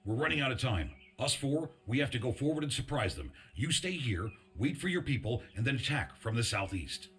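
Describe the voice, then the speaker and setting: A man's voice: mid-range voice, very animated, a little fast, hardly any pauses, a bit bright. An actor playing an urgent, earnest male resistance soldier in a video game cutscene, speaking in a tense, emphatic way. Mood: resolute.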